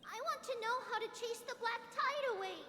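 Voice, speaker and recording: An actress playing a gentle, wistful ancient demigod woman in a video game. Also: very high voice, brisk. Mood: childlike pleading. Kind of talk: scripted game voice acting. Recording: game dialogue over background music. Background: music.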